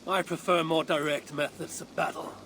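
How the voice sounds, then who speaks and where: A woman playing a blunt, suspicious Viking warrior in a video game, with a low, husky voice and a Scandinavian accent. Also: steady tone, moderate pace, close to an everyday voice. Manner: blunt, terse, challenging. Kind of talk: video game cutscene acting. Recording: game scene with ambient noise.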